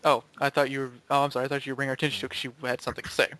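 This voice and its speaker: A man with a bright, forceful voice at a middle pitch rambles casually among friends. He is sweary and snarky, putting on exasperation, and the sound is dry and close. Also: level tone, racing, no echo. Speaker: member of a gaming commentary group. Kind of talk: rambling casual group chat.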